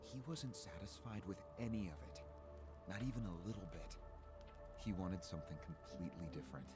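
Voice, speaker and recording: A man playing a calculating, cynical political operative in a video game, measured and smug as he explains. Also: sits low, lightly acted. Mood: smug, explaining. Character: calculating, cynical male political operative recounting a grand scheme. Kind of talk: English video game voice acting. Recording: game audio with music behind. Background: game music.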